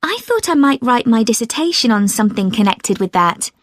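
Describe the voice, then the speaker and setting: A woman's voice: fairly high pitch, expressive, a little fast, dry recording, studio quality. An actress playing a thoughtful young female student in a scripted study discussion. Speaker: actor in a listening test dialogue.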